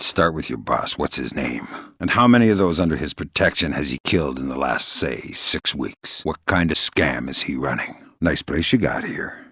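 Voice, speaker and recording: A man playing a middle-aged, dry-witted, gruff covert operative in a video game, deadpan, sardonic and quietly menacing. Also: extremely low pitch, no echo, full character voice. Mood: calm menace, then dry sarcasm. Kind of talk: video game voice acting, isolated dialogue lines.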